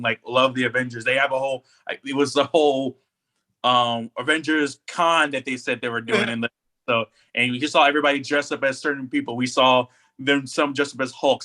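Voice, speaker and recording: A man chatting casually as a podcast co-host, rambling in an animated way and acting out imagined remarks. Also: fairly high pitch.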